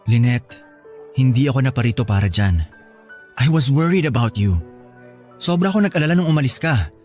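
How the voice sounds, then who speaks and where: A man's voice: mid-range voice, expressive, brisk, a little mellow, faint music behind, lightly acted. An actor with a Filipino accent playing a remorseful middle-aged father, imploring and earnest in a radio drama. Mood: guilty, pleading.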